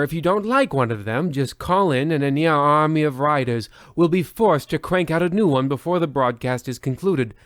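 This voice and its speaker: A man playing a pompous, deadpan horror-anthology host, narrating in a formal old-time radio style. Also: a little high, no echo, clean studio sound, close to an everyday voice. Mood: formal, dry.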